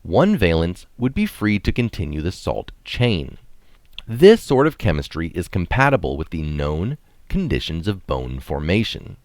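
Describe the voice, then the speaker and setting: A man's voice: deep voice, big pitch swings, unhurried, a little mellow, dry recording, studio quality. A man reading an article aloud in an explanatory way, with casual asides of his own. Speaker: reader of articles, adding own comments.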